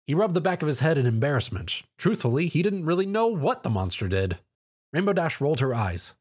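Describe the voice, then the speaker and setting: A man's voice: fairly high pitch, very animated, a little fast, husky. A man narrating a scripted story in a dramatic storytelling style.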